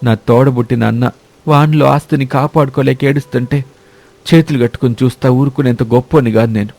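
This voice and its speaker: A man's voice: medium pitch, fairly even intonation, fairly quick, gravelly. Man playing an earnest poor village brother. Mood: emotional, earnest. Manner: forceful, emotional, rustic colloquial speech. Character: Narayana, poor devoted younger brother, earnest and selfless. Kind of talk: dramatic moral-story cartoon voice acting.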